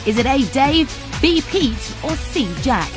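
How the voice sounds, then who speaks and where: A woman announcer with a slightly high voice, reading a scripted promo briskly. Music runs clearly under her. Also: expressive. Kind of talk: scripted voice-over.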